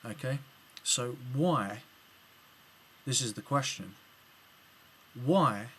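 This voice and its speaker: A man's voice: medium pitch, animated, very slow, a bit bright, breathy edge. A man with an English accent giving a spoken video reply, hesitant and full of ums and restarts. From England. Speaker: video blogger replying to another video. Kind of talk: casual spoken commentary to camera.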